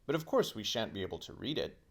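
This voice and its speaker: A man's voice: lowish voice, sing-song, fast, vocal fry, husky, exaggerated voice. A man voicing a headstrong, curious and argumentative young boy from a children's storybook, insistent and exasperated. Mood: surprised.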